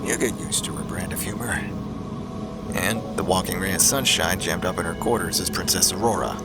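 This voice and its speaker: Man acting a low-voiced, hard-bitten starship captain. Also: a little fast, over loud music. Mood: commanding. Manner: stern, commanding, world-weary. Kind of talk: sci-fi audio drama acting.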